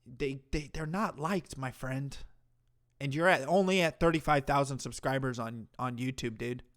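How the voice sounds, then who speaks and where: A man's voice: medium pitch, normal speed, fairly bright tone. A man hosting a livestream, reacting to a clip with mocking, profane commentary. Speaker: commentary streamer host.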